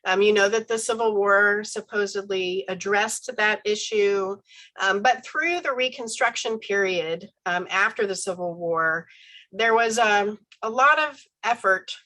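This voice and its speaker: Woman lecturer, stuffy head cold, bright tone, chatty with ums, video call. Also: mid-range voice, unhurried, rough recording. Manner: conversational, with frequent ums and self-corrections. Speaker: university professor giving a talk. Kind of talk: online academic lecture.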